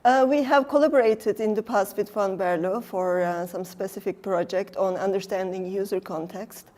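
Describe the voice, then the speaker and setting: A middle-aged woman from Turkey with a Turkish accent, a design researcher talking casually in an interview, pausing often with fillers. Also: mid-range voice, fairly even intonation, mellow. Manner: thoughtful, many fillers, laughs often. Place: design exhibition venue.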